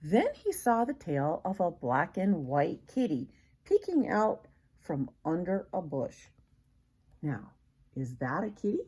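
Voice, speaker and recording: A woman's voice: on the low side, big pitch swings, slow, darkish tone, slightly rough. An older woman reading a children's picture book aloud expressively, as a grandmother would, with questions to the young listener.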